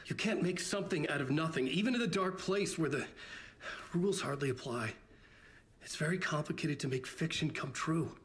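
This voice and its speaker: An actor playing a haunted, weary novelist in a video game, speaking in a halting, earnest, troubled way. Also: male voice, fairly high pitch, fairly bright tone, slight background noise, lightly acted. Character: haunted, weary male novelist trapped in nightmare. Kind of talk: cinematic video game acting.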